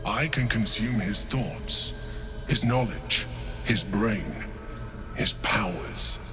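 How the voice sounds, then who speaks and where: An actor playing a cold, commanding male mind flayer in a video game, measured and superior in manner. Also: on the low side, bright, forceful tone, soft background music. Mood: grave warning. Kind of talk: video game dramatic acting.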